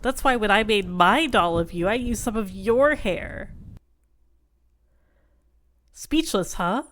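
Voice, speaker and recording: A woman's voice: medium pitch, lively intonation, slow, fairly bright tone. A woman playing a jealous, obsessive neko in an ASMR roleplay, smug and catty, sweetly possessive. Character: jealous, snide, obsessive cat person rival.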